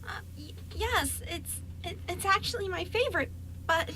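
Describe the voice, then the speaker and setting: A very high, bright little fairy voice, timid and tearful, stumbling over words in a hesitant stammer. It comes from a radio play, with faint noise behind it. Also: female voice, animated, normal speed, heavily acted. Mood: shy, nervous. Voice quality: small, high-pitched voice. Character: tiny frightened female fairy, timid and tender. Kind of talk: amateur radio play acting.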